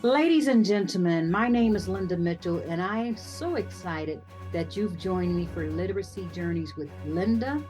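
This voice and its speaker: A woman's voice: lowish voice, animated, moderate pace, few pauses, a little mellow. Lady hosting an interview show, encouraging, faint music underneath. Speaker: show host. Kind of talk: hosted interview.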